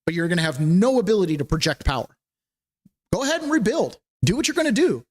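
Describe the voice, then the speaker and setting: A man's voice: high voice, big pitch swings, quick pace. A man hosting a casual political talk show, joking and sardonic, laughing often. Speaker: show host.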